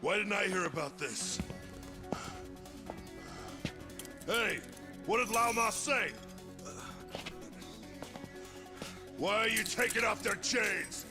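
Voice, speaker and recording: An actor playing a menacing, volatile gangster in a video game cutscene, taunting, threatening and foul-mouthed. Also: male voice, sits high, normal speed, natural voice. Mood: alarmed, flustered. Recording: music under the voice. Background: music.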